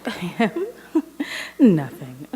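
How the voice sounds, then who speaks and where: A woman acts a guarded office receptionist in an audio drama. Her husky, lowish voice swings widely in pitch, polite yet officious, with hall reverb around it. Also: huge pitch swings, drawn-out words, mellow, full character voice. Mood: guarded, firm. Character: brisk, guarded, loyal female dental office receptionist.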